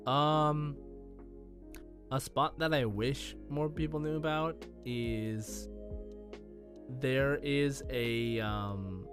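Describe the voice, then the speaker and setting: A man co-hosting a gaming podcast in casual conversation. His voice sits in a middle register, and he talks in a halting, rambling way, with faint music underneath. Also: medium pitch, steady tone. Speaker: podcast host.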